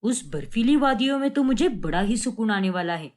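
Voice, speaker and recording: A woman's voice: on the high side, lively intonation, a little fast, darkish tone, close to an everyday voice. A woman voicing a middle-aged father in a cartoon story, sarcastic and firm, with a theatrical, taunting manner.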